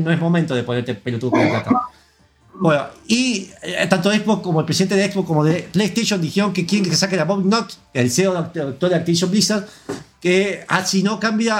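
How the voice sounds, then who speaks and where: Rambling Argentine man hosting a podcast. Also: high-pitched. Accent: Argentine Spanish. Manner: rambling, reads out listener comments. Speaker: podcast host. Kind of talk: casual gaming news chat.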